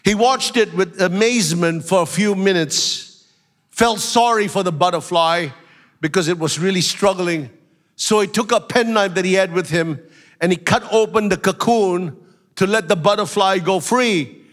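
A man preaching a sermon as pastor, a little nasal from a flu, building up to shouted, slowed-down emphasis. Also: high-pitched. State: has a flu.

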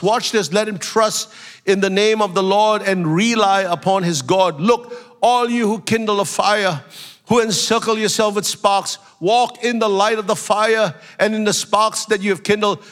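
A man preaching a sermon as pastor, a little nasal from a flu, building up to shouted, slowed-down emphasis. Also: sits high, fairly even intonation. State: has a flu.

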